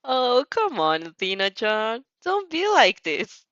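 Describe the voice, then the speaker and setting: A woman's voice: fairly high pitch, wildly swooping pitch, slow, fairly bright tone, no room sound, dull audio, exaggerated voice. Woman playing a smug, drawling teenage boy. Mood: pleading. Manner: drawling, teasing. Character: teasing, mischievous teenage boy, smug and playful.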